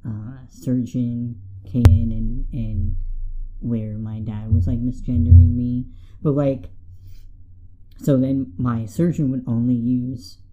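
A young man co-hosting a casual podcast, talking haltingly with many fillers and restarts. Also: mid-range voice, little pitch movement, warm tone. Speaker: podcast co-host. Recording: tinny phone-like sound, faint music.

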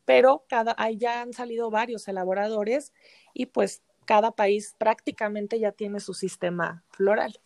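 A woman with a Mexican accent speaks as an interview guest in a conversational, explanatory way. Her voice sits at a medium pitch and is recorded dry, with very little room sound. She often checks in with short tag questions. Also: steady tone, normal speed, vocal fry, a little mellow, no echo. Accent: Mexican Spanish. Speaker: interview guest, flower remedy practitioner and teacher.

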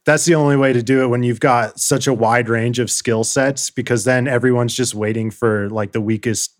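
A man co-hosting a podcast, relaxed and easygoing in casual chat, quick to laugh. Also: medium pitch, dry recording, studio quality. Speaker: podcast co-host.

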